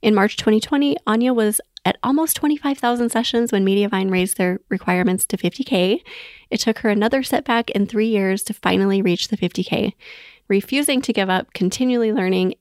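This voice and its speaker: A woman's voice: medium pitch, brisk, darkish tone, no room sound, studio quality. A woman hosting a podcast in an encouraging, affirming manner. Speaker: podcast host. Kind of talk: scripted podcast intro and friendly interview chat.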